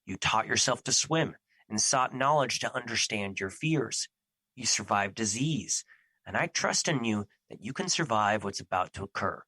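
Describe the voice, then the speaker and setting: A man playing Fear as an earnest, protective personified emotion in an audio drama, with formal, measured phrasing. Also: on the low side, lively intonation, sharp tone, slightly rough, no echo, full character voice, rough recording.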